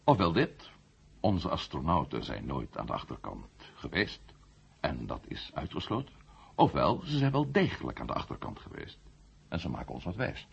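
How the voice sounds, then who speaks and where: Gravelly low-voiced maverick ex-astronaut. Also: male voice, huge pitch swings, moderate pace, a little hiss or hum, full character voice. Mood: probing, confident. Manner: argumentative, rhetorical, building his case point by point. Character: outspoken ex-astronaut turned maverick writer, male, confident and provocative. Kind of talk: 1970 Dutch radio drama acting. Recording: muffled old radio drama tape.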